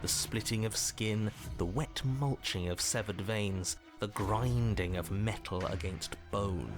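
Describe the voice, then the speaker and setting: An actor playing a stern, disdainful narrator of a dark fairy tale, grave and deliberate, in dramatic game narration. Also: male voice, fairly low pitch. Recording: music behind the voice.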